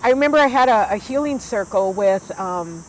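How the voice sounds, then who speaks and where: Woman, 60s, elder, heartfelt storytelling, mid-pitched voice, faint outdoor noise behind. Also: animated, normal speed, rough recording. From United States. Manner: earnest, heartfelt, with frequent fillers and restarts. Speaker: Ojibwe elder and guest. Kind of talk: conversational storytelling.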